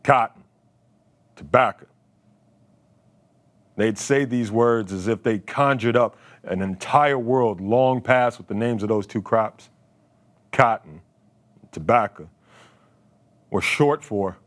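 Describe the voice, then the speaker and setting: A man in his late thirties from Philadelphia, a poet telling his story in a deliberate, dramatic spoken-word performance. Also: fairly low pitch. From Philadelphia, United States. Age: late 30s. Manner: dramatic, deliberate, emphatic phrasing.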